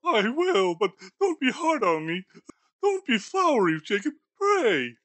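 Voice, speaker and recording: A man voicing a frightened, pleading old miser in a high, wavering, whimpering voice for an audiobook. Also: sing-song, unhurried, fairly bright tone, dry recording, exaggerated voice. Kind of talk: audiobook character voice.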